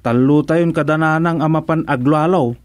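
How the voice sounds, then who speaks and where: Guy doing a flustered ilocano village errand man for radio comedy, voice a bit high, close dry sound. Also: steady tone, moderate pace, darkish tone, dry recording, natural voice. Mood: pleading.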